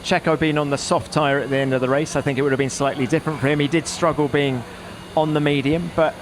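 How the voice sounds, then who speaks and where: A man's voice: fairly high pitch, fairly even intonation, fast talker, noisy background. A man hosting a motorsport podcast in a conversational discussion style.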